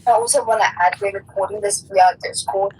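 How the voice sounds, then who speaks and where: A woman's voice: mid-range voice, fairly even intonation, brisk, a little mellow, slight background noise, poor audio. A woman co-hosting a podcast, chatting casually over an online voice call. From Africa. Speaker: podcast co-host.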